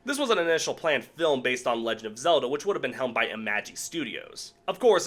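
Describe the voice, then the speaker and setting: A man's voice: medium pitch, pushed and bright. A man hosting a video with casual scripted commentary, sweary and sarcastic in his delivery. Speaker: video host. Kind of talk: casual scripted commentary on cancelled game films.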